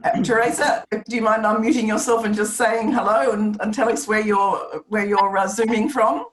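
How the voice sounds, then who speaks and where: A woman's voice: medium pitch, steady tone, moderate pace, echoey room, low-quality recording. A woman informally hosting an online meeting, encouraging, chatty and polite as she guides the participants. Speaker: meeting host and chair. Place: online video call. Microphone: video call.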